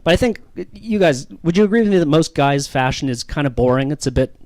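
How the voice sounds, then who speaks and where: Higher-voiced Canadian stand-up comic man. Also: lively intonation, quick pace, a little mellow, no room sound. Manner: self-deprecating, ironic. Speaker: stand-up comedian. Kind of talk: stand-up comedy with audience banter.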